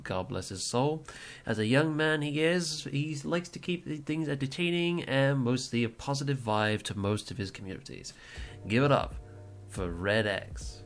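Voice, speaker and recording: A man's voice: medium pitch, moderate pace, soft background music. A man hosting a casual livestream podcast, rambling and teasing as he introduces his friends. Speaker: podcast host.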